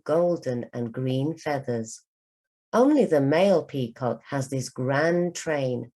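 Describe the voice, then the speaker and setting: A woman with a low, mellow voice reads a children's book as a teacher would. Her delivery is slow and carefully enunciated, made for learners to follow along. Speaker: English teacher.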